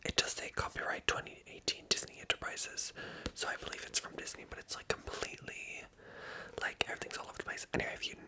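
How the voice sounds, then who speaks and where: A woman reading a storybook aloud in a breathy, intimate ASMR whisper, with soft, informal delivery. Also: fast, poor audio.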